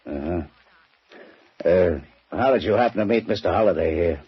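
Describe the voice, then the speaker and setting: An actor playing a gruff, suspicious homicide lieutenant in a 1940s radio drama, raspy, curt and bossy. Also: male voice, deep voice, a little slow, no echo, full character voice. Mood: curt, impatient. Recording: muffled vintage 1940s radio recording.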